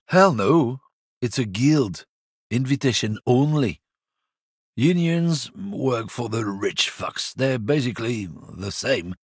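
Hostile bigoted lorry driver, game character, husky, slow drawl, swearing, dry studio recording. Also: male voice, on the low side, dry recording, heavily acted. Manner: sneering, contemptuous, swears constantly. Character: surly bigoted male lorry driver, middle-aged, hostile. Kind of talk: video game voice lines.

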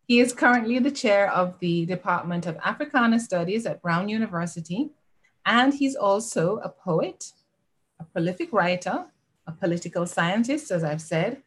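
A woman's voice: lowish voice, lively intonation, normal speed, husky. A middle-aged woman hosting an online alumni interview, formally reading the guest's introduction over a video call. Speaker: host. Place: home room. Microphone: video call webcam.

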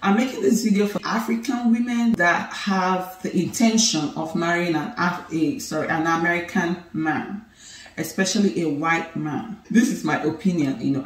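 A woman in her thirties with a Nigerian accent, Igbo her first language, chatting playfully to camera as a couple's channel co-host. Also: fairly low pitch. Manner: animated, laughing, playful and teasing. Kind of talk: casual chat to camera. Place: living room, indoors. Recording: roomy, somewhat distant sound.

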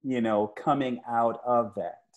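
An older man interviewing casually over a video call, speaking haltingly and affirmingly. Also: medium pitch, steady tone, dull audio. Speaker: interviewer, comic creator. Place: living room at home, indoors, on a video call.

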